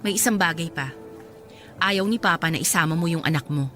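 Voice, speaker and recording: An actress playing an insistent, scheming bride who coaxes and pleads, in an emotional radio drama style. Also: female voice, fairly low pitch, fairly quick, bright, forceful tone, faint music behind. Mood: coaxing. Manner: coaxing, wheedling persistence. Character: insistent, scheming bride, pleading with her resentful half-sister.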